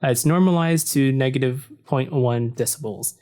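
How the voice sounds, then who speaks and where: A man with a deep voice narrating a software tutorial in a relaxed, casual way, recorded at a desk in a room without soundproofing. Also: normal speed. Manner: relaxed and explanatory, with frequent "so" and "uh". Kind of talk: casual screen-recording walkthrough. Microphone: desk mic facing the computer screen.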